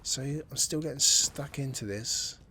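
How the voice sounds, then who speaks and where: A man's voice: medium pitch, measured pace, pushed and bright, breathy edge. A man giving casual, chatty commentary while he plays a video game, thinking aloud and reading tutorial text as he goes. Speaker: gaming channel host.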